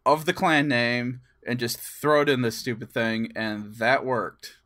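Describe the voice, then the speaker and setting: A man's voice: medium pitch, steady tone, a little slow, a bit bright. Man telling stories in casual podcast chat. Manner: chuckles through stories, acts out quoted dialogue. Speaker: podcast co-host. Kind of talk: casual gaming chat, animated storytelling.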